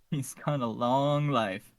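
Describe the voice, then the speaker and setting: A man's voice: medium pitch, very slow, dry recording. Man podcaster, close and dry sound. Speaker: podcast co-host. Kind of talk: casual discussion and reading out a plot summary.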